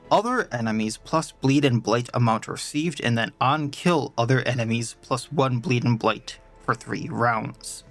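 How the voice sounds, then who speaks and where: A man's voice: mid-range voice, a bit bright. A man talks casually through his game session, congested and a bit sore in the throat. He rambles on about stats and plans in an ordinary mid-range voice, with faint game music behind him. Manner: chatty, explains stats at length. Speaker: let's play host. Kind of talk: casual gameplay commentary.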